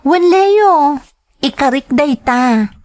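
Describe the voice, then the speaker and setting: A woman's voice: sits high, expressive, very slow, a little mellow, heavily acted. A woman playing an eager, determined young female graduate in an audio drama, speaking earnestly and expressively. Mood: reassuring, loyal. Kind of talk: dramatized family radio-style drama.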